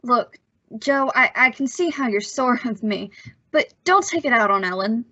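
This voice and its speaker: An awkward, eager-to-please young man acted by a woman in a vintage-style radio drama. The voice is high and bright, stumbling over words in boyish slang. The sound is close and dry, a little dull. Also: expressive, moderate pace, dry recording. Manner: hesitant, stammering, folksy slang. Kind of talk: old-time radio suspense acting. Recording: slightly dull, muffled audio.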